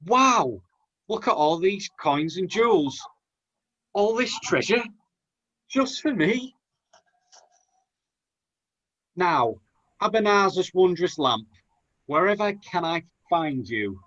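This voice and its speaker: A man's voice: high voice, sing-song, slow pace, long pauses, a bit bright, dull audio, full character voice. A man playing a plucky, naive young Aladdin in a rhyming pantomime, with an earnest theatrical delivery. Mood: excited. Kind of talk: pantomime acting.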